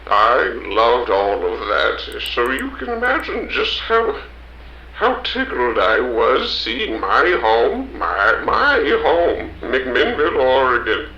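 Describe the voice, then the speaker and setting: A man's voice: mid-range voice, bright, forceful tone, echoey room, highs cut off, exaggerated voice. A man playing a nostalgic old small-town man who rambles and stammers fondly in an audio drama. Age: older.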